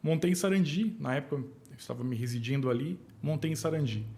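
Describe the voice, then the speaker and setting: A Brazilian man in his forties, an accountant talking as a podcast guest, calm and plain, hesitating and restarting now and then. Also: fairly high pitch, quick pace, slight background noise. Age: 40s. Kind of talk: casual interview chat.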